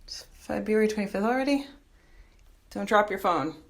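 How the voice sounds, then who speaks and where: A woman's voice: mid-range voice, animated, moderate pace, a little hiss or hum, lightly acted. An actress playing a self-justifying young woman drinker, narrating a matter-of-fact audio diary in a drama. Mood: self-satisfied.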